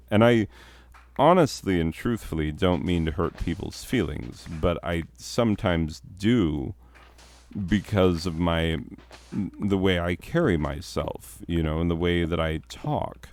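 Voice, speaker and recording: A man, a teacher, chatting casually in a deep voice with a downward, newscaster-like inflection that sounds authoritative. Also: a little mellow. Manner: rambling with restarts and chuckles. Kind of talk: casual chat.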